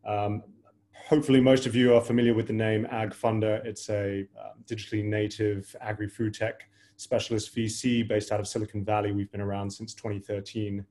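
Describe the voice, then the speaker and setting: A man's voice: fairly low pitch, little pitch movement, a little fast, dark tone. A middle-aged man introducing himself as an investor on a remote panel. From Hawaii, United States.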